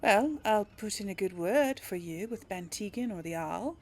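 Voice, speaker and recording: A woman's voice: medium pitch, lively intonation, normal speed, darkish tone. A woman giving casual role-play video game commentary, thinking aloud in a hesitant, conversational way. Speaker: gaming video host and player.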